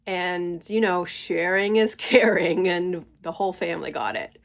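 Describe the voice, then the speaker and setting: A woman in her forties or fifties hosting her own channel, talking slowly and thoughtfully at home while stuffy from a cold. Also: lowish voice. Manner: gentle, unhurried, thoughtful pauses. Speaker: channel host. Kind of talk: slow reflective spiritual monologue.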